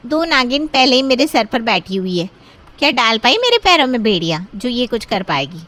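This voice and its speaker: Woman acting a young cartoon sister-in-law, high pitch, haughty, sly, boastful, scheming. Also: sing-song, normal speed, a bit bright. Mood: smug, boastful. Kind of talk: Hindi moral-story cartoon dialogue.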